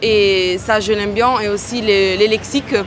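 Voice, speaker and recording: A woman's voice: mid-range voice, steady tone, moderate pace, a little hiss or hum. Young woman, hesitant. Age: young adult. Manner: hesitant, searching for words. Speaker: exchange student. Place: city street outdoors.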